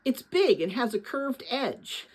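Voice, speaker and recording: Woman voicing a thoughtful young storybook boy, husky. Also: fairly high pitch, very animated, slow pace, exaggerated voice, rough recording. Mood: cautious. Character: thoughtful young boy exploring, cautious and puzzled. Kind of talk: storybook read-aloud character voice.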